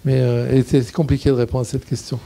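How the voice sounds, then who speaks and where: A man in his sixties answers audience questions into a handheld mic in a small room. His voice is deep-toned and very dark, and he talks haltingly, restarting phrases as he hunts for the right word. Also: level tone, gravelly, slight background noise. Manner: halting, searching for words, gesturing. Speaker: guest cinematographer. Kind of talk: thoughtful Q&A answers. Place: small indoor screening room in a shop. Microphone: handheld mic, standing.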